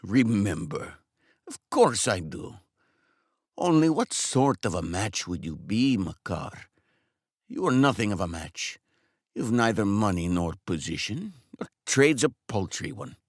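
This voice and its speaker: A man's voice: fairly low pitch, big pitch swings, a little slow, no echo. A smug, bluff older man who lectures the barber in a patronizing, matter-of-fact tone, as a man reading the story puts him on. His voice rises and falls a lot. The recording is dry and close. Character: older male customer, smug and blunt.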